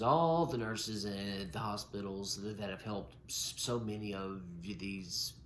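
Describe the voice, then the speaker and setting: Stuttering man, gravelly and low. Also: fairly bright tone. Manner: stutters, repeating and breaking off sounds. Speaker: podcast co-host. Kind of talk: casual podcast chat. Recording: roomy echo with faint noise.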